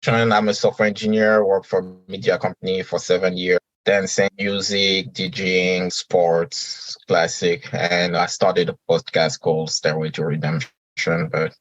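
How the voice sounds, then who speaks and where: A man from Senegal with a very low voice talks in an easy, conversational way. He pauses and rephrases as he thinks. The recording is dry and a bit muffled. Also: little pitch movement, a bit bright, dry recording. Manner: unhurried, with pauses and restarts. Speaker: podcast host and guest. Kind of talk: conversational interview answers.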